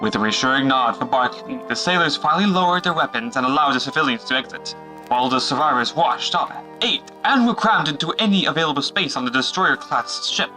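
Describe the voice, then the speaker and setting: A man's voice: mid-range voice, brisk, bright, forceful tone, poor audio. A man reading scripted dramatic narration for an adventure story. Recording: music behind the voice.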